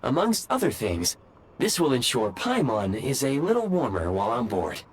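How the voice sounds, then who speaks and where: A man's voice: mid-range voice, wildly swooping pitch, normal speed, husky. A man voicing a polite, composed robotic train conductor in a video game, with formal, precise wording. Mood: polite, advising. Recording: music behind.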